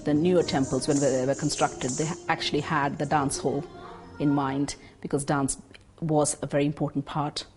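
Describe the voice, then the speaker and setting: A woman speaking in a documentary interview, explaining the history and customs of a classical dance with frequent pauses and fillers. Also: low voice, little pitch movement, music in the background.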